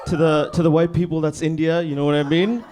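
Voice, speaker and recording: Young male stand-up from Bangladesh, a little higher-pitched than most men, deadpan and laid-back, working a club mic. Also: fast talker. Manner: laid-back, deadpan, frequent "you know what I mean". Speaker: stand-up comedian. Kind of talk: stand-up set. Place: comedy club stage.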